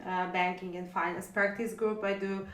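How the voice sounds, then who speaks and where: A woman's voice: medium pitch, little pitch movement, normal speed, a bit bright. A woman in her thirties from Serbia with a Serbian accent, chatting hesitantly with many fillers as a podcast co-host. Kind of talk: casual conversation. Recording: roomy echo.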